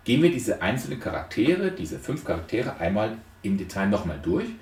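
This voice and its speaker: A man's voice: on the low side, very animated, a little fast, a little mellow. A man giving an explanatory lecture, laying out numbered points emphatically and posing rhetorical questions. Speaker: lecturer on transactional analysis. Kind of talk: explanatory lecture retelling a fairy tale. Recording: echoey room, distant microphone.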